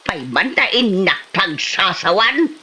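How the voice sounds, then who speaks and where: An actress playing an irate, older Filipino neighborhood moneylender who scolds and insists in a comedy drama. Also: female voice, mid-range voice, big pitch swings, slow pace, pushed and bright, slightly rough, heavily acted. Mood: indignant. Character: irate older woman, a pushy neighborhood moneylender demanding repayment. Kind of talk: Ilocano comedy drama acting.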